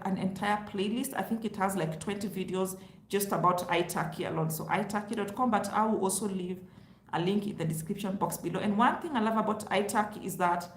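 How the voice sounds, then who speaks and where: A woman whose first language is Luo, giving casual money advice in a chatty, explaining way. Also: mid-range voice. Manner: chatty, explains with rhetorical asides. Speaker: vlogger. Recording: roomy echo with faint hiss.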